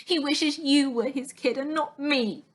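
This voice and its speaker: A woman's voice: high-pitched, unhurried, pitched above the performer's own voice. A woman voicing a sad, defiant young girl in a storybook, snapping bitterly in childish grammar.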